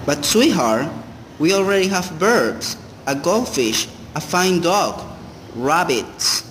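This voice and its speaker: Reverberant, slow, high-voiced theatrical husband played by a man. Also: sing-song, fairly bright tone, exaggerated voice. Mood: affectionate. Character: moody husband turned cruel, menacing drunkard. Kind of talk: radio play acting. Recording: noisy, long hall-like reverb.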